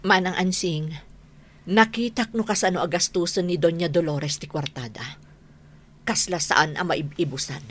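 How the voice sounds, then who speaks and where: A woman's voice: on the low side, moderate pace, fairly bright tone. A woman acting in an Ilocano radio drama as a disapproving confidante passing on gossip.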